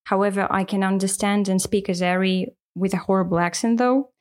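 A woman's voice: mid-range voice, steady tone, brisk. A woman, co-hosting a casual podcast as an English teacher, talking in a friendly, earnest way with frequent fillers. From Russia. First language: Russian.

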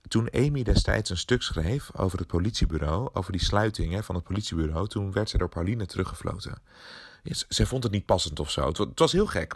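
Man acting a hesitant, creaky-voiced colleague. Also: lowish voice, no echo, clean studio sound. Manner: hesitant, halting, stumbling over words. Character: nervous, secretive male newsroom colleague sharing suspicions. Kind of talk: audio drama voice message, casual speech.

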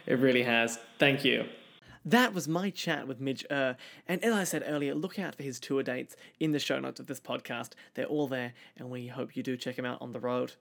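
A man's voice: mid-range voice, normal speed, creaky, fairly bright tone. A young man with an Australian accent, hosting a podcast interview in polite, prepared phrasing, recorded in a roomy space. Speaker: podcast host.

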